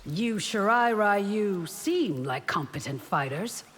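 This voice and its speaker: An actress voicing a fierce, devout and loyal warrior bodyguard in a fighting game, speaking formally, proud and defiant. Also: female voice, medium pitch, expressive, slow, fairly bright tone, slightly rough, heavily acted. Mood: proud, composed. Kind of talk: video game fight intro dialogue. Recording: reverberant hall, noisy game backdrop.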